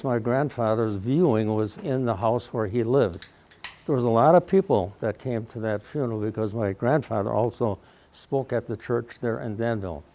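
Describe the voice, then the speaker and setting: A man around ninety with a mellow voice, telling his life story to a live audience in a folksy way. He speaks loudly because he has no microphone and often clears his throat. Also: mid-range voice. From Wausau, Wisconsin, USA. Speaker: guest speaker. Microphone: no microphone, recorded in the room.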